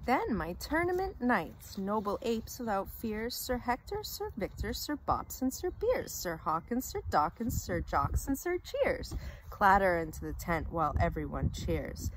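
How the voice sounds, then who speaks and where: A woman's voice: medium pitch, big pitch swings, unhurried, a little hiss or hum, low-quality recording. A woman reading a rhyming children's story aloud with theatrical, dramatic emphasis.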